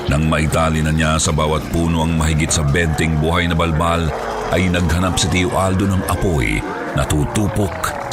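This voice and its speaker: Male horror narrator: low, solemn, dramatic storytelling over clear background music.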